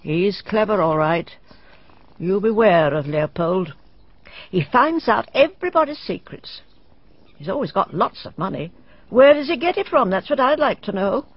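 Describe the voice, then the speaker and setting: A woman's voice: on the low side, slightly rough, full character voice. An actress playing a sly old village woman who tells fortunes and dresses as a witch, talking in a cryptic, gossiping, rustic way in a radio drama. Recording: dull dry radio drama recording.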